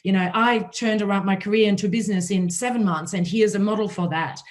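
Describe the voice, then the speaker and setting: A woman with a German accent and a raspy voice, hosting a panel talk and telling her own story in a conversational way. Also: mid-range voice, quick pace. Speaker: host.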